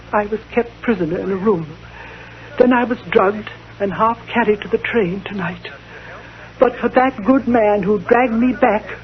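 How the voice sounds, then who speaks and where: An actress playing a grieving, vengeful diplomat's widow in a radio drama, speaking formally and with dramatic passion. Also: female voice, mid-range voice, close to an everyday voice. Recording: dull old radio recording.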